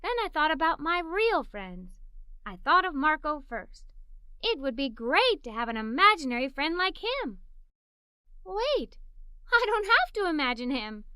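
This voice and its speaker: A woman's voice: high voice, measured pace, no room sound, clean studio sound. A woman reading a children's story aloud expressively, in the first person as a curious, imaginative young girl. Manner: expressive, animated, with giggles. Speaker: storybook reader.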